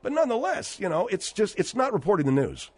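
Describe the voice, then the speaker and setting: A man hosting a call-in radio talk show, questioning guests briskly and pointedly. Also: sits high, sing-song, darkish tone, slightly rough, no echo.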